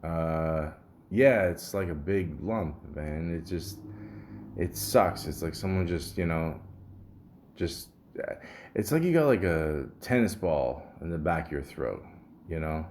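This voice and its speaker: A man with a deep, somewhat muffled voice and a sore throat, rambling casually and grumbling with some swearing as a solo podcast host. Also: animated, takes it slowly, darkish tone, a little hiss or hum. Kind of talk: casual solo podcast rambling, reading symptom lists aloud.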